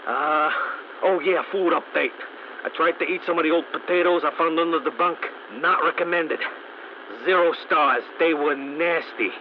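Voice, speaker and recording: A man's voice: on the high side, fairly even intonation, moderate pace, lightly acted. Male actor as a weary, resourceful stranded mechanic, wry game audio log; muffled, static-laced recording. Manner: wry, dry humor.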